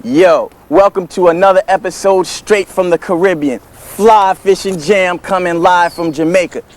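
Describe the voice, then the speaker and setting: A man's voice: sits high, moderate pace, close to an everyday voice. Man as a brash fishing show host. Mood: hyped. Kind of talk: slangy parody fishing show banter.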